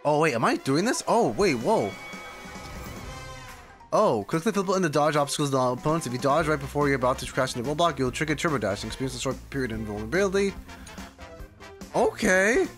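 A man's voice: a little high, expressive, fast talker. A young man streaming a video game, reacting casually and rambling in loose asides. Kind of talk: casual live gameplay reaction. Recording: light game music behind. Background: video game music.

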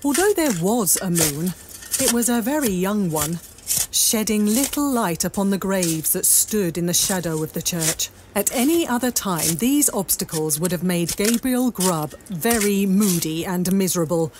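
A woman's voice: medium pitch, animated, moderate pace, noisy background. A woman narrating an audio drama as a scripted, dramatic storyteller.

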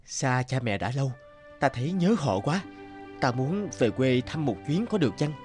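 An actor playing an earnest, polite and hesitant young scholar in an animated folktale, homesick for his village. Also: mid-range voice, normal speed, music in the background, natural voice. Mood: homesick, pleading.

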